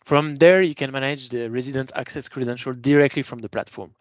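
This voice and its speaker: A man's voice: mid-range voice, fairly even intonation, fairly quick, dry recording. Man presenting a webinar, dry close sound. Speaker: account manager presenting a product webinar. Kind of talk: explanatory sales webinar presentation.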